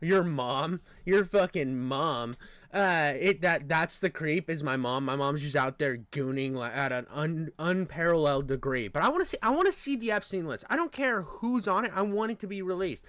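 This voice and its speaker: Nasal, high-voiced man riffing crudely. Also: animated, normal speed, a bit bright, dry recording. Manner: rambling, crude, jokey. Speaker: reaction commentary YouTuber. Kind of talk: crude reaction commentary.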